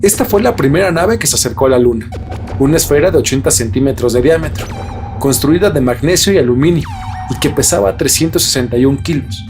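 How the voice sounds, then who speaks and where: A man hosts a podcast, reading scripted mystery narration with dramatic, deliberate phrasing. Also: medium pitch, animated, music in the background. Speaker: podcast host.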